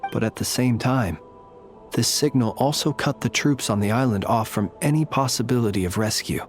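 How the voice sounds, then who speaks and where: An actor playing a solemn, courteous graveyard lightkeeper in a video game, speaking in a formal, measured, old-fashioned way. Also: male voice, medium pitch, soft background music, natural voice. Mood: solemn, thoughtful. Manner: formal, measured, archaic diction.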